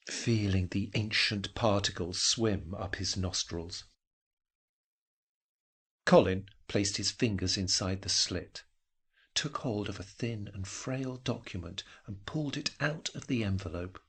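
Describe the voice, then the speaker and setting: A man with a husky voice narrating a literary story in a measured, dramatic way with deliberate phrasing. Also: on the low side, fairly bright tone.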